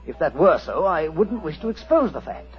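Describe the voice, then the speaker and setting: An actor playing a genteel, placating English army major with a fluttery, hesitant manner, in 1940s radio style. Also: male voice, on the high side, big pitch swings, normal speed. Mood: anxious, confiding. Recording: muffled old radio drama, music.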